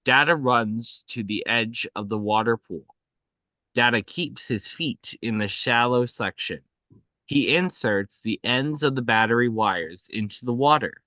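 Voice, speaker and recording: A man reading out screenplay action at a table read in a halting way, often stumbling and correcting himself. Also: medium pitch, bright, forceful tone, no room sound. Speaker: reader of stage directions. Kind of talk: scripted narration at a table read.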